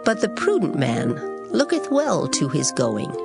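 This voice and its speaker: Female scripture reader, slightly low voice, solemn measured dramatic delivery over a music bed. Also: wildly swooping pitch, creaky. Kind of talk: dramatized Bible reading.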